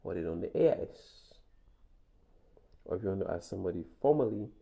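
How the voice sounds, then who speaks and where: Male teacher, low gravelly voice, patient classroom explainer. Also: animated, a little fast, narrow, tinny audio. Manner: patient, repeats points, frequent "okay?" checks. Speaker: Spanish teacher. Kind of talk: classroom lesson walkthrough.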